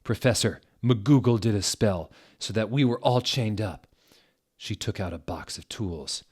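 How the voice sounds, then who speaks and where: A man giving a theatrical, mock-serious dramatic reading of comic fan fiction in a deliberate narrator's manner. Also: fairly low pitch, a little mellow, husky.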